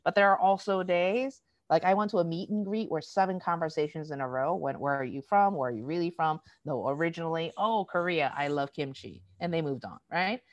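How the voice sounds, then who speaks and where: Low-voiced woman telling stories animatedly. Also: brisk, few pauses, no echo, dull audio. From United States. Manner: animated storytelling, acts out quoted dialogue. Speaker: diversity educator. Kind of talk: conversational interview.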